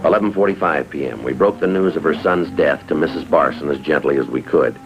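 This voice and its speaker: Over music on a dull old soundtrack, an extremely low-voiced American detective speaks in clipped deadpan. He is stoic and grimly matter-of-fact, in 1950s TV crime drama style. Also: a little fast. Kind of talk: 1950s TV police drama, deadpan narration and dialogue.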